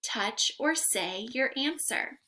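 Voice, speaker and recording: A young woman gives an interactive read-aloud lesson for young children. Her voice is high and swings widely in pitch, and she takes her time over each phrase. She speaks in a carefully enunciated, sing-song teacher's manner. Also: expressive, slow, bright, forceful tone. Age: young adult. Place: room at home.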